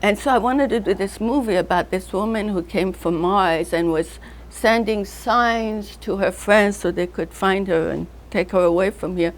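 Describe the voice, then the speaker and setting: A woman's voice: medium pitch, normal speed, low-quality recording. An older woman artist, born in North Africa, reminiscing in a casual interview, drifting loosely from one name and memory to the next and often laughing through her stories. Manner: rambling, loose storytelling that drifts between names and memories.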